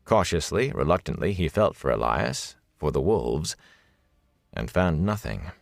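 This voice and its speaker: A man's voice: very deep voice, very animated, unhurried, creaky, no echo. A man reading a fantasy novel as a scripted audiobook narration, close on the microphone.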